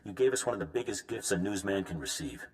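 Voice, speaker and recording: An upbeat, businesslike news chief from a video game. The voice sits fairly low. He talks in a brisk, organised way. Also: expressive.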